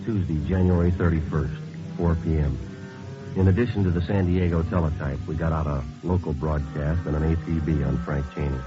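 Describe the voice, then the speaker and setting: Very deep-voiced deadpan police sergeant. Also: male voice, brisk. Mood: matter-of-fact. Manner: deadpan, matter-of-fact, clipped. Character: terse, businesslike male police sergeant narrating his case. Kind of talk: 1950s radio police drama, clipped narration. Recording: muffled old radio recording with music.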